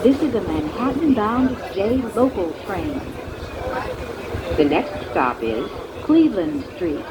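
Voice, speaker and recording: A woman giving measured, pre-recorded subway announcements over a train's speakers. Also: big pitch swings. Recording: train announcements with loud ride noise. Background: train rumble and ambience.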